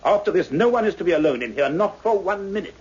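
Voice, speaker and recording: An actor playing a kindly, authoritative older scientist with a deliberate, earnest delivery in a 1960s radio drama. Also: male voice, high voice, animated, loud music behind the voice. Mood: intent, commanding. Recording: muffled old radio recording, faint noise.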